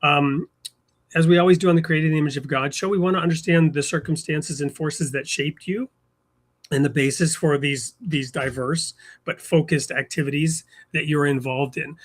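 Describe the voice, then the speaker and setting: A man hosting an interview show, introducing his guest and chatting in a friendly way with frequent fillers. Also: a little high. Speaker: host.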